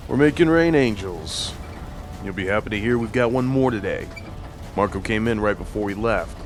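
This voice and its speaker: A man's voice: fairly low pitch, animated, normal speed, soft background music, close to an everyday voice. A man acting as an easygoing logging crew worker in an audio drama, trading casual banter. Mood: joking.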